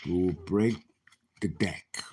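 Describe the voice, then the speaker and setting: A man in his late fifties giving a casual tarot reading, chatty and animated, with laughing asides and dropped whispers. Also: low voice, drawn-out words, a little hiss or hum. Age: 50s. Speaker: tarot reader.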